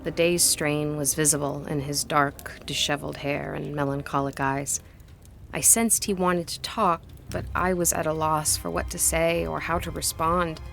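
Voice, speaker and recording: A woman's voice: deep voice, fairly even intonation, normal speed, gravelly, a bit bright, soft background music. An actress playing an earnest, curious woman historian in a gothic audio drama, speaking in articulate, formal and literary phrases. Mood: reflective.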